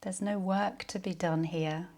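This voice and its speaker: Woman, yoga nidra teacher, lowish pitch, very slow pacing, soft-spoken, soothing guided relaxation. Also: steady tone.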